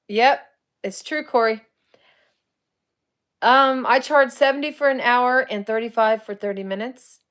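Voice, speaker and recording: A woman in her forties, a psychic medium, chatting casually with viewers on a livestream. She often laughs, greets people by name and uses colloquial grammar. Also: medium pitch. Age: 40s. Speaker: psychic medium and paranormal live streamer. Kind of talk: casual livestream chat answering viewer comments.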